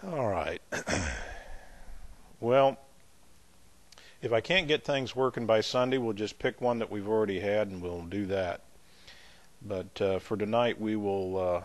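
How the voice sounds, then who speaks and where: A man leading a Bible study as pastor, chatting in a folksy, easygoing way between readings. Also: lowish voice. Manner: folksy, chatty asides with light laughs; measured, emphatic scripture reading.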